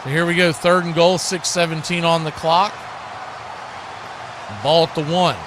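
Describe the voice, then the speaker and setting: Higher-pitched American man calling football, noisy stream. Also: level tone, measured pace. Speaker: play-by-play sports commentator and stream host. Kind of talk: live American football commentary with casual chat.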